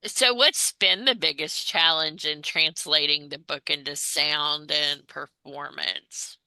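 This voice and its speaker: A woman's voice: low voice, fairly even intonation, slow pace, sharp tone, clean tone, no echo, low-quality recording. A woman interviewer asking casual questions, tentatively rephrasing them as she goes.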